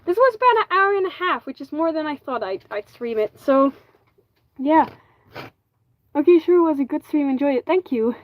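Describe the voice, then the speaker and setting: Chatty Flemish woman, fairly high voice. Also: animated, moderate pace, lo-fi sound. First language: Dutch (Flemish). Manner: chatty, restarts and self-corrects, frequent "like". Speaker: livestreamer making a fursuit. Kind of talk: casual livestream chat.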